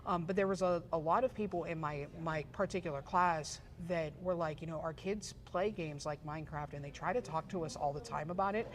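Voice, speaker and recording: A woman's voice: low voice, a little fast, hardly any pauses. A woman in her forties from Pittsburgh, a guest in an interview, telling her story in a chatty way with many fillers and restarts. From Pittsburgh, USA. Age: 40s. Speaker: guest, esports photographer and journalist. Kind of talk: casual interview chat.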